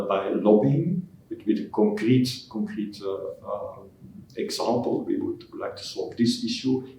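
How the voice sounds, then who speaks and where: A man from Belgium, a scientist answering interview questions in a halting way, often repeating words as he searches for phrasing. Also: on the low side, dark tone. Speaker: scientist giving concluding remarks. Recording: echoey hall, mic at a distance, faint noise.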